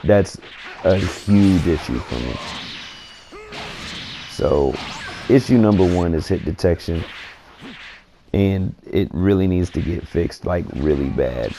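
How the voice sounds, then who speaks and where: A man getting over a cold, his voice scratchy and gravelly, giving laid-back, opinionated commentary on a video game. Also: sits low, lively intonation, slow pace, long pauses, mellow. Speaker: gaming commentator. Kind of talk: casual gaming commentary.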